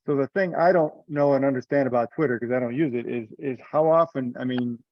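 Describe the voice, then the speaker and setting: Man, medium pitch, hesitant explainer in casual meeting talk; dry, band-limited call audio. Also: fairly quick, no echo, muffled recording. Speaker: meeting participant leading discussion. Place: online conference call.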